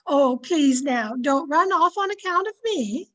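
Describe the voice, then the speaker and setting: A high-pitched, cheerful older aunt played by a woman in an old-time radio play. She chatters knowingly and insists gently, a born meddler in young people's romances. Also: animated, a little slow. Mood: gracious, chatty.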